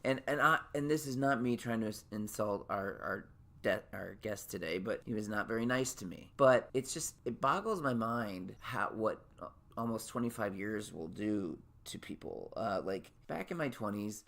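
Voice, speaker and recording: A man in his late thirties hosting his podcast in a casual solo monologue. His voice sits naturally high, and he rambles with lots of restarts and fillers. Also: expressive, normal speed, creaky, a bit bright. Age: late 30s. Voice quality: naturally high voice he can drop lower. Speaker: podcast host.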